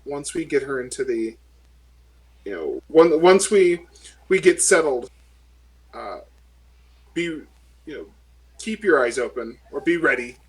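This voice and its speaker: A man's voice: fairly high pitch, lively intonation, unhurried, long pauses, husky, full character voice, poor audio. A man voicing a talkative, reassuring female marshal in a tabletop game, with a rambling, placating manner. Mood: discreet, instructing.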